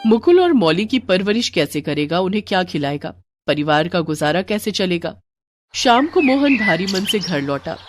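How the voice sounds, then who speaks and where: A woman's voice: on the low side, sing-song, moderate pace, faint music behind. A woman narrating a scripted moral bedtime story for children.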